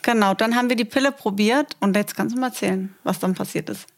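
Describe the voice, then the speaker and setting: A woman's voice: mid-range voice, fast talker. Candid, chatty woman podcaster. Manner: chatty, candid storytelling with asides to listeners. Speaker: co-host of a couples' podcast. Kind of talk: frank, casual conversation.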